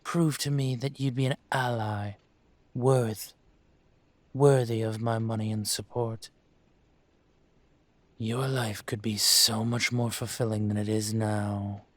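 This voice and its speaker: Give the part of a dragon mafia boss to a man acting it out in an intimate audio drama. His voice sits fairly low and he speaks very slowly, measured and suave. He is patient, condescending and quietly menacing, with a teasing edge, in a dry, echo-free recording. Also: level tone. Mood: formal, composed. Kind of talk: scripted audio roleplay, intimate.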